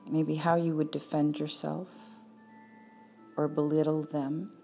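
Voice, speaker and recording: A woman leading a guided meditation as a teacher, speaking calmly and without hurry, leaving long pauses. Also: sits low, vocal fry, soft background music. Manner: calm, unhurried, with long pauses.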